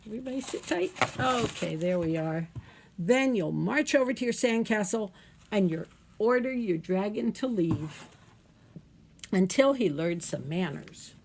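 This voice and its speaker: A woman reads a children's picture book in a very animated, expressive way. Her mid-pitched voice has some creak in it. She drops in chatty asides and questions, and there is some noise in the recording. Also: unhurried. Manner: expressive, playful, asks the listeners questions. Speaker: storytime reader at a library.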